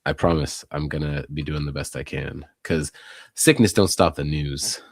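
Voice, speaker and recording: Man, hoarse, husky, very deep voice, laid-back, self-deprecating, dry close mic. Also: steady tone, normal speed, no echo. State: hoarse, recovering from illness. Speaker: podcast co-host, local news writer. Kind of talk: casual podcast chat.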